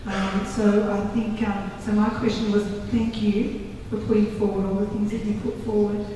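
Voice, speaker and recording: Croaky woman principal in an echoey hall. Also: mid-range voice, flat delivery, normal speed, mellow, slight background noise. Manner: passionate, earnest. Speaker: school principal. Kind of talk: audience comment. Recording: echoey hall, distant mic.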